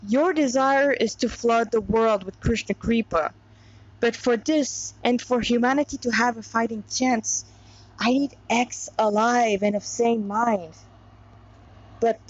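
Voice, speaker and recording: A woman's voice: fairly high pitch, slow, pauses often, no room sound. A woman voicing a weary, devout female warrior in a story, musing in exasperation over her mission. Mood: weary, pleading. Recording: dull, lo-fi sound.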